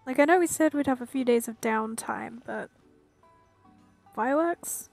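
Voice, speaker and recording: A woman's voice: a little high, normal speed, creaky, a little mellow, soft background music. A woman giving casual, musing commentary as she plays a game, feeling her way through her thoughts. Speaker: game streamer.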